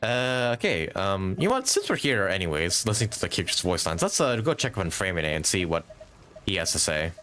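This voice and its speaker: A male streamer chats casually over light game music. His voice is fairly low but swings widely in pitch as he thinks out loud. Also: very animated, brisk. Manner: musing, thinking aloud. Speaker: game livestreamer. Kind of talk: casual live gaming commentary.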